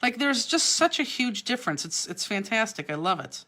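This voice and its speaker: A woman's voice: fairly high pitch, very animated, moderate pace, pushed and bright. Chatty Cleveland woman teaching a craft. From Cleveland, Ohio. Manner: chatty, answers viewer comments while working. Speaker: craft class host. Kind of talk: live online craft demonstration. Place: craft studio, indoors.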